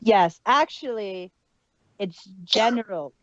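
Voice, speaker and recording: A woman's voice: mid-range voice, expressive, slow, no room sound. A woman co-hosting a casual review podcast, chatting with fan enthusiasm and trailing restarts. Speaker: podcast co-host. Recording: dull lo-fi dry sound.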